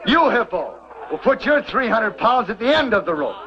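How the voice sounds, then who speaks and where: A man's voice: high voice, sing-song, normal speed. An American actor playing a boisterous, commanding mining camp man who bosses his crew jovially in a vintage western. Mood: jovial, bossy. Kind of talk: 1950s-60s western TV acting. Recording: dull old soundtrack, noisy outdoor crowd.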